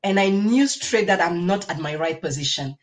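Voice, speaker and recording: A woman's voice: on the low side, animated, moderate pace. A woman from Cameroon, a podcast guest telling her story conversationally and enthusiastically, repeating words for emphasis.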